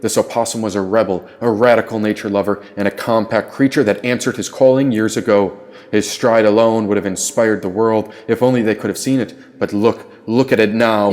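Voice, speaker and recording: A man's voice: lowish voice, a little mellow. A man reading his own first-person story as dramatic audiobook narration.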